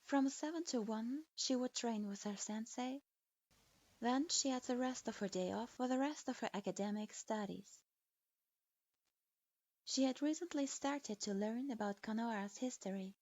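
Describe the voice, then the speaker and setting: A woman reading a fan fiction story aloud in an even storytelling manner. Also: a little high, moderate pace, no room sound. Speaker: story reader. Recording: dull lo-fi.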